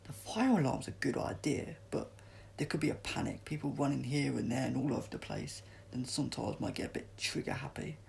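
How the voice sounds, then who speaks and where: Gravelly, commanding heroic doctor read by a man. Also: mid-range voice, brisk, a little mellow. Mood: considering. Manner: emphatic, commanding. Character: urgent, exasperated heroic male alien doctor.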